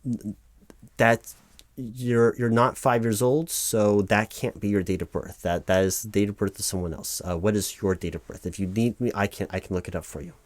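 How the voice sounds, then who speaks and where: A man playing a patient, officious human resources officer, haltingly reading out form fields in a one-sided ASMR roleplay. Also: fairly low pitch, no echo, lightly acted. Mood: patiently correcting. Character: officious, patient male HR officer doing paperwork. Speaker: human resources interviewer filling out forms.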